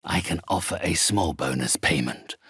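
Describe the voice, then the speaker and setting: An actor playing a sinister, haughty undead necromancer in a video game, with a raspy voice and formal, drawn-out, menacingly polite delivery. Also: male voice, low-pitched, fairly bright tone, no echo, studio quality, exaggerated voice. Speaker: spirit medium. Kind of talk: theatrical video game villain acting.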